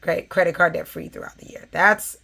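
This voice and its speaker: A woman, talking casually to her viewers in a hoarse, scratchy voice, with plenty of fillers. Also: fairly low pitch, fairly even intonation, a little fast, bright, forceful tone. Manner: chatty, with frequent fillers. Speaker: vlogger. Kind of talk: casual chat.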